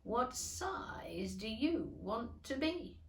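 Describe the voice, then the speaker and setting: Woman voicing a slow, curt storybook caterpillar, echoey. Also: a little high, very animated, a bit bright, full character voice. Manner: drawn-out, deliberate, languid delivery. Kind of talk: storybook character reading. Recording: echoey room.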